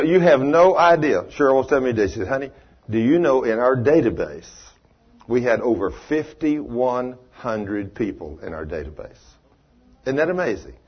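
Man, folksy preacher, husky voice, dull muffled recording. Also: medium pitch. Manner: folksy, plain-spoken, rising to emphatic preaching. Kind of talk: sermon with audience questions.

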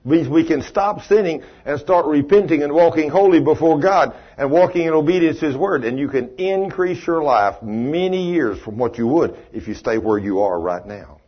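A man's voice: on the high side, lively intonation, normal speed, few pauses, breathy edge. A man preaching a sermon, folksy and plain-spoken, rising to emphatic preaching and taking questions from the audience. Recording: dull, muffled live recording.

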